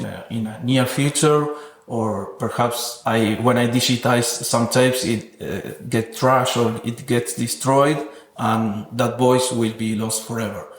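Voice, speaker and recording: Reflective man in an echoey room. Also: medium pitch. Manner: thoughtful, academic wording. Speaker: interviewed sound artist. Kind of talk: reflective interview talk about own work. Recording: echoey, roomy sound.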